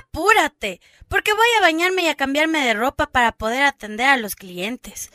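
A woman's voice: high voice, normal speed, sharp tone, no room sound, studio quality. A woman playing a bossy, nagging food-stall owner in a radio drama, with an Ecuadorian accent. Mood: scolding, impatient. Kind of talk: amateur radio drama acting.

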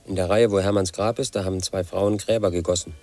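An actor playing a brooding, paranoid man in his thirties, telling his own story as a flat inner monologue in a radio play. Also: sits low, fast talker. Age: 30s. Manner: flat, brooding, quietly obsessive.

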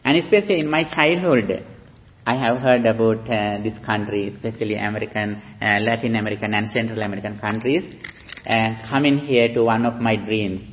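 Sri Lankan monk preaching slowly. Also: male voice, fairly low pitch. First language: Sinhala. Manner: slow, halting speech with many fillers. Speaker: Buddhist monk. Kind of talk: dharma talk with interpreter. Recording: dull lo-fi recording.

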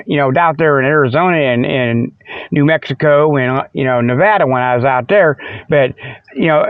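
Middle aged american guy, kinda high voice, folksy rambling comedy podcast, bit muffled lo-fi. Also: dry recording. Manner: folksy, colloquial, forgetful rambling. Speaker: podcast host. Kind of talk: rambling comedic monologue in casual chat. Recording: slightly dull lo-fi, dry sound.

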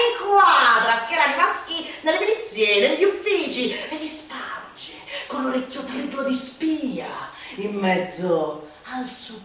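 Casting: a scornful, declamatory satirical orator for radio theatre, bright and cutting, heard from afar in a reverberant space. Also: female voice, a little high, heavily acted. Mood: scornful, accusing. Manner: declamatory, swinging from hushed sneering to shouted outbursts. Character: scornful, vehement satirical orator denouncing tyranny. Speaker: stage and radio actor. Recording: echoey hall, distant mic, faint noise.

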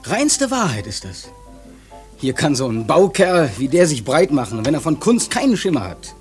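A man's voice: fairly high pitch, wildly swooping pitch, normal speed, a little mellow, soft background music. An actor playing a defiant, boastful young male painter, lovesick and touchy, in a German radio play. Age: young adult. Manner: braggart, insistent, volatile.

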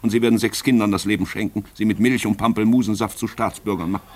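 An actor playing a worldly, paternal older playwright in a 1950s radio play, eloquent and drily ironic. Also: male voice, lowish voice, steady tone, quick pace, darkish tone, natural voice. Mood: teasing, firm. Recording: noisy 1950s radio drama recording.

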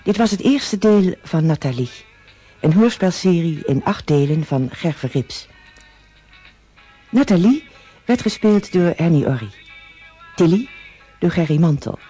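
A man's voice: on the high side, animated, unhurried, long pauses, warm tone, husky. A man announcing radio drama credits in a scripted style. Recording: radio announcement with faint music behind.

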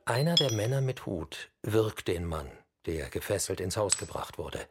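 A man's voice: on the low side, expressive, normal speed, background noise, close to an everyday voice. A man delivering scripted radio documentary narration.

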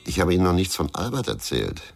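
Very deep, gravelly brooding ex-detective. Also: male voice, very animated, fast talker, faint music behind, full character voice. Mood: wary, brooding. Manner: terse, brooding. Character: bitter, brooding former police investigator named Berger. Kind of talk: radio crime drama acting and narration.

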